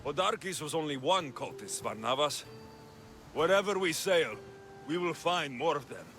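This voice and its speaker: An actor playing a young Greek mercenary hero in a game cutscene, steady and resolute. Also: male voice, a little high, normal speed. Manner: steady, rising to rousing shouts. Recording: game cutscene with music behind.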